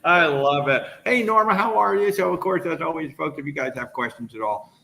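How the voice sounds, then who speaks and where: A man's voice: fairly high pitch, lively intonation, brisk, creaky, bright, forceful tone, slight background noise. An American man hosting a live travel webinar, enthusiastic, laughing often and greeting viewers by name. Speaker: travel agent host.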